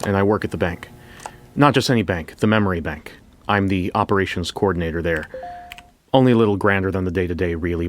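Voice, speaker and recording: An actor playing a wry, reflective bank coordinator who tells his story in the first person, in a measured, conversational way. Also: low-pitched, lightly acted. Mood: wry, modest. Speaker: narrator. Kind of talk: first-person scripted audio fiction narration. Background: music.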